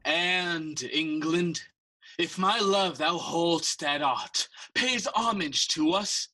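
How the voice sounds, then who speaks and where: A man playing a stern, scheming king in a classical audio play, formal, commanding and measured. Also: a little high, heavy background noise. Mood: cold, scheming.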